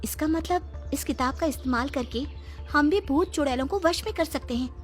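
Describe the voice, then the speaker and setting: Bold young cartoon heroine, adult female performer: high, determined, commanding, over faint music. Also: moderate pace, natural voice. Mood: eager. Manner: confident, commanding. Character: bold, determined elder sister, a young girl. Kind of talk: Hindi cartoon voice acting.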